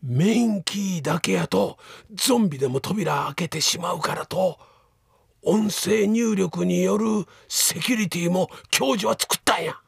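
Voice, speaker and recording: Casting: excitable Kansai researcher on the run from zombies, gravelly husky voice, dry comedic radio drama. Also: male voice, sits high, unhurried, no echo, exaggerated voice. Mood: earnest, hopeful. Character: panicky, urgent male researcher fleeing zombies.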